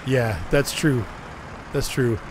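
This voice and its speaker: A hoarse man chatting casually as he streams a driving game, rambling and answering his chat. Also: mid-range voice, slow pace. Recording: noisy game sound behind.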